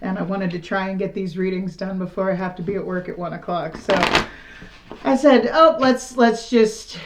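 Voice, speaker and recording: A middle-aged woman with a raspy, slightly hoarse voice gives a casual tarot reading to the camera. She talks in a frank, rambling, conversational way. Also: mid-range voice, normal speed, heavy background noise. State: slightly hoarse after illness. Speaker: tarot reader.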